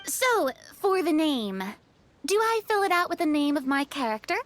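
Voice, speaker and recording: A woman's voice: high voice, very animated, normal speed. A woman playing a polite, earnest young woman who speaks thoughtfully and a little hesitantly in an anime game. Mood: curious.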